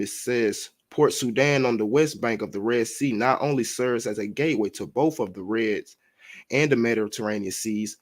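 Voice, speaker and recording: A man with a mid-range voice leads a history lesson for an online audience. He reads passages aloud, then goes back over them in plain words, with frequent fillers and recaps. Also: mid-range voice. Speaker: teacher. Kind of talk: reading aloud and explaining history.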